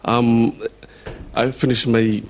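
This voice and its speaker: A man from Somaliland asking a long question from the audience, halting and repeating words with many fillers. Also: medium pitch, little pitch movement. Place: lecture hall.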